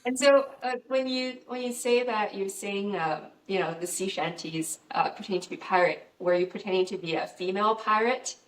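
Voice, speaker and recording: A woman's voice: medium pitch, moderate pace, few pauses, echoey room, distant mic, rough recording. A woman interviewer asking questions over a video call, often hesitating with fillers.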